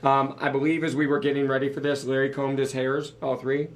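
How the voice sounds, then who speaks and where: A man's voice: mid-range voice, flat delivery, fairly quick. A man co-hosting a live podcast, losing his voice, talking casually and cracking jokes. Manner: joking, loose, rambling. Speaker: podcast co-host.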